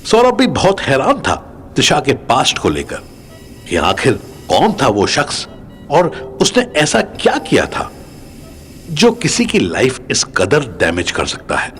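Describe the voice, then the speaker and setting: A man's voice: mid-range voice, huge pitch swings, a little slow, a bit bright. A man narrating an audio drama in a scripted, dramatic storytelling style. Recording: music under the narration.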